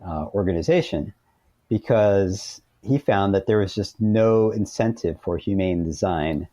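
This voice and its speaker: A man's voice: low-pitched, measured pace, warm tone, dry recording. A man speaking as an interview guest, explaining things informally and point by point in casual conversation.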